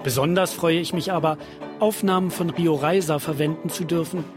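A man's voice: fairly high pitch, steady tone, moderate pace. A man hosting a podcast, reading scripted first-person documentary narration. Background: guitar music.

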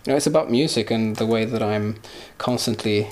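A man's voice: fairly low pitch, a little fast, a little mellow. A man whose first language is Swedish, a musician giving casual, reflective interview answers as he searches for his words. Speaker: musician guest.

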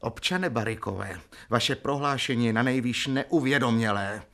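Officious committee chairman played by a man, middling pitch. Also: a bit bright, close to an everyday voice. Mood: official. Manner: sloganeering, bureaucratic. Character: pedantic, officious male Soviet house committee chairman. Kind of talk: radio play acting.

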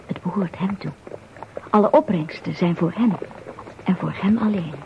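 An actor playing the emphatic storyteller of a radio drama folk tale, delivering scripted narration with dramatic pauses. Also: male voice, sits high. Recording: dull recording with clear noise.

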